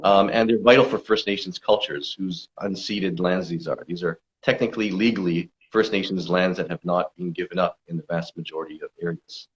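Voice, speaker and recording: A man's voice: low voice, brisk, hardly any pauses. A man answering interview questions as a conservation campaigner, explaining steadily with frequent fillers. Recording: dull lo-fi sound.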